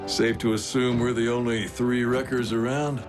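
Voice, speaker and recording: A man voicing a cocky, battle-hardened alien robot warrior in an animated action series, wry, swaggering and laconic, with a brash wisecracking streak. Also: mid-range voice, normal speed, natural voice. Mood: confident, wry. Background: music.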